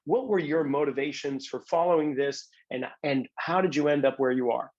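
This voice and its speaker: A man's voice: fairly high pitch, moderate pace, a little mellow, dull audio. A man hosting a podcast, friendly and self-deprecating, often stumbling over and repeating words. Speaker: podcast host. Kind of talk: casual podcast interview.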